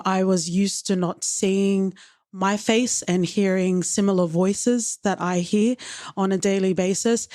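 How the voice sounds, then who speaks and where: A woman's voice: medium pitch, a little mellow, dry recording, clean studio sound. A woman co-hosting a podcast, talking earnestly and openly about her feelings with some wry humor. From Australia. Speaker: podcast co-host. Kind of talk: reflective casual chat.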